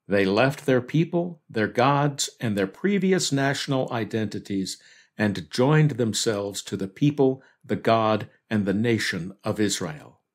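A man hosting a devotional podcast, reading a scripted reflection on scripture in a measured, earnest way. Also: mid-range voice.

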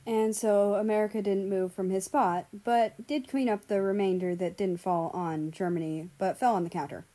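A woman reads fan fiction aloud as a narrator. Her voice is mellow and soft-edged, sitting at a middling pitch as she tells the story. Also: fairly even intonation, moderate pace. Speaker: audiobook reader of fan fiction.

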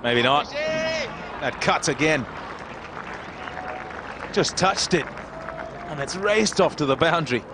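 Excitable male cricket commentator over crowd noise. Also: on the high side, slow pace, pauses often. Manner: excitable, rising to shouts at wickets. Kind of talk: live TV sports commentary. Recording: noisy crowd behind, outdoor broadcast. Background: cheering crowd and ground noise.

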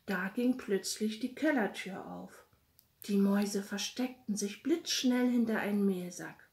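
A middle-aged woman gently reading a bedtime story for children, speaking softly and directly to her little listeners. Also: mid-range voice, measured pace, gravelly. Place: small room at home. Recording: roomy, echoey home recording.

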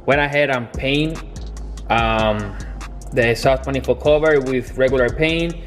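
A young man talking casually in a garage workshop, filming himself on a handheld camera, halting and restarting as he explains his car work. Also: medium pitch, faint music behind. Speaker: car builder.

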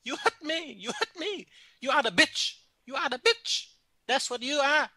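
A man's voice: very high-pitched, very animated, normal speed, pushed and bright, no echo, pitched above the performer's own voice, heavily acted. A man voicing an irate woman restaurant owner who speaks broken English and stammers out insults. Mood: indignant. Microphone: phone call.

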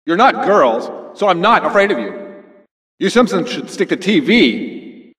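An actor playing a smug, jealous, nerdy game designer villain in a cartoon video game, boastful, theatrical and petulant. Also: male voice, sits high, very animated, measured pace, a bit bright, heavily acted. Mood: gloating. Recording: echoey, long reverb.

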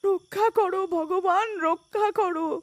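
A woman's voice: very high-pitched, unhurried, slight background noise, pitched above the performer's own voice. A woman voicing a distraught, pleading village mother in a melodramatic cartoon. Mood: frightened pleading.

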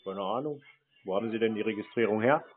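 A man's voice: on the low side, quick pace. A man talking on the phone in a terse, dry, challenging manner. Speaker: prank host taking scam calls. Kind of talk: phone conversation. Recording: tinny narrow phone-like sound.

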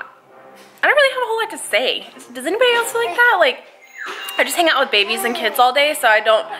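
Nasal, high-voiced young mom vlogging. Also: female voice. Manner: chatty, filler-heavy, playful baby talk. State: nasal from a cold. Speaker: family vlogger, twin mom. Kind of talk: casual vlog chat to camera. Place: living room at home. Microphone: handheld camera close to face.

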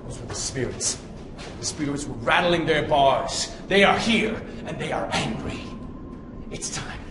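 Melodramatic young ghost hunter, echoey hall. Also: male voice, fairly high pitch, animated, measured pace. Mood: hushed, intense. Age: young adult. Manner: melodramatic, bombastic. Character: cocky, theatrical young male ghost hunter. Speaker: paranormal show host. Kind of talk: scripted ghost-hunting presenter piece. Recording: echoey hall with noisy hiss.

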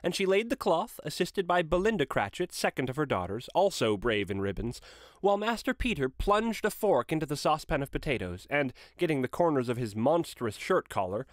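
A man narrating a classic story aloud in an expressive storytelling style. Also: a little high, fairly quick, no echo, clean studio sound.

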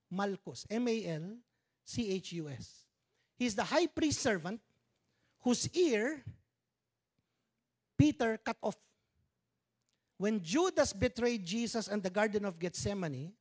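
A Filipino man in his fifties preaching a sermon on a church stage, conversational, with joking Tagalog asides, speaking into a handheld mic. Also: high voice. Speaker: pastor. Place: church stage with dark curtains.

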